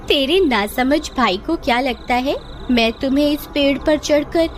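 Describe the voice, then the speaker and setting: A woman voicing a theatrical female witch in a cartoon horror story, menacing and falsely sweet. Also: sits high. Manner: taunting, theatrical, switching to coaxing sweetness.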